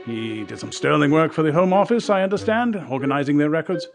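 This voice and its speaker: An upper-class English lord with a rather high voice, clear music playing behind him. He is formal, fussy and grave, an anxious aristocrat of a haunted house in a radio play, weighing his words with dramatic care. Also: animated, fast talker. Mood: solemn warning. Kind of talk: audiobook radio-play acting. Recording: music under the voice.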